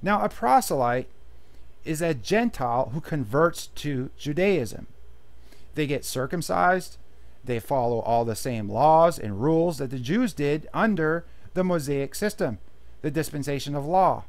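Slow-paced man teaching the Bible. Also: fairly high pitch, lively intonation. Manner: reads scripture aloud, then explains it plainly. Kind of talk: verse-by-verse Bible teaching over slides. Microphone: voice-over on slideshow.

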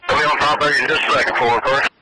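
A man's voice: medium pitch, steady tone, racing, pushed and bright. A male police officer giving terse pursuit updates over police radio. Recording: very noisy police scanner radio. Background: heavy noise with radio clicks.

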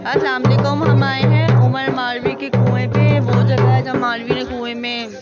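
A woman's voice: high voice, level tone, normal speed, muffled recording. Travel vlogger, woman, twenties, from Pakistan. Casual chat on a phone outdoors, heavy music bed. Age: 20s. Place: outdoors at tourist sites. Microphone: handheld phone at arm's length.

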